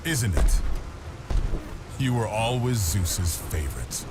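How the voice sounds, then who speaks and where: An actor playing a boastful, jealous demigod in a video game, taunting and theatrical with heavy emphasis. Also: male voice, fairly low pitch, sing-song, takes it slowly, background noise. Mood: bitter, taunting. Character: boastful, bitter, jealous muscular demigod brother. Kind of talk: dramatic video game acting.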